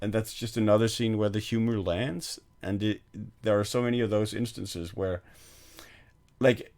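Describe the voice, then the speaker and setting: A man's voice: fairly low pitch, fairly even intonation, normal speed, a little mellow. A man co-hosting a film podcast, retelling movie scenes in a rambling, casual way with many restarts and laughs. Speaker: podcast co-host.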